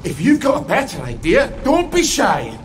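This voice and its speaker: An actor playing a gruff, sweary, haunted ex-soldier, blunt and stubborn, in a video game. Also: male voice, sits high, wildly swooping pitch, moderate pace, background noise. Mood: wry, urgent. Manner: blunt, profane, exclamatory.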